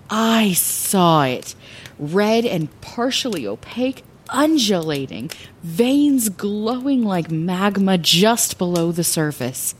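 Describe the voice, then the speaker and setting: A woman playing a wry, impulsive lighthouse keeper who narrates her adventures theatrically in a fantasy audio drama. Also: mid-range voice.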